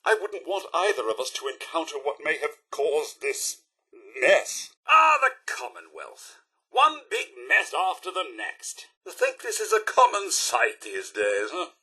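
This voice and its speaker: High, fussy British robot butler. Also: male voice, wildly swooping pitch, a little slow, pushed and bright, husky, exaggerated voice. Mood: fastidious, uneasy. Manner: polite, effusive, theatrical, formal. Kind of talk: video game character acting.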